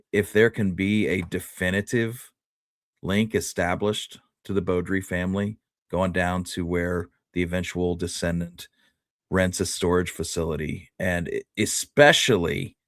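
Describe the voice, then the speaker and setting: A low-voiced man co-hosts a casual history discussion. He picks his words tentatively, rephrasing and qualifying as he goes, and the sound is dry and close to the mic. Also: no room sound. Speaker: co-host of a history discussion show.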